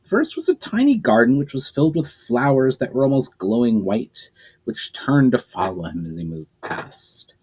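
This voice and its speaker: Animated man reading his own novel. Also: mid-range voice, normal speed, husky, low-quality recording. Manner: lively storytelling with frequent self-corrections and fillers. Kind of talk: dramatic reading of a novel chapter.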